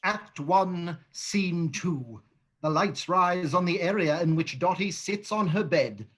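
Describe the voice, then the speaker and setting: A man reading a play's stage directions aloud in a plain, even way. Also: high voice, slow, slightly rough.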